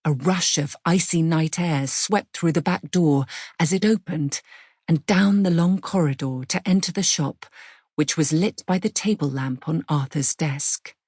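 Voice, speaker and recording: A woman's voice: low-pitched, a little slow, darkish tone, slightly rough, no echo, studio quality. A woman with a British accent giving a scripted audiobook narration of suspense fiction.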